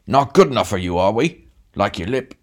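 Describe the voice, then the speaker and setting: A man voicing a big, rough-spoken brawler in an audiobook, gruff and belligerent. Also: fairly low pitch, fairly quick, pitched above the performer's own voice, lightly acted.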